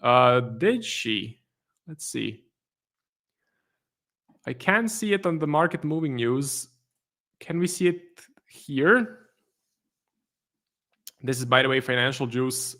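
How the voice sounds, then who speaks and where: A man's voice: medium pitch, takes it slowly, pauses often. Croatian-accented man hosting a livestream. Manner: relaxed, reads viewer questions aloud and answers them. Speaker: trading livestream host. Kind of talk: casual livestream commentary.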